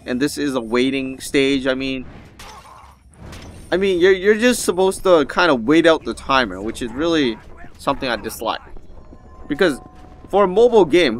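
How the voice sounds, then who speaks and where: A man's voice: on the high side, fairly bright tone, slight background noise. A man giving casual, rambling gameplay commentary as the host of a gaming video.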